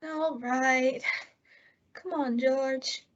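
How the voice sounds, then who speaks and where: A woman playing a sweet, dim-witted, soft-hearted young woman in an old-time radio comedy, naive and earnest. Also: sits high, steady tone, very slow. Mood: reluctant. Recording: dull lo-fi audio with clear noise.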